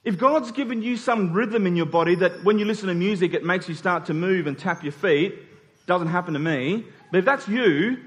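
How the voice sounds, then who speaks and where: Man, high-pitched voice, preacher, conversational sermon, repeated key phrases, rhetorical questions to congregation. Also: normal speed. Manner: rhetorical check-ins with the congregation; emphatic repetition of key phrases.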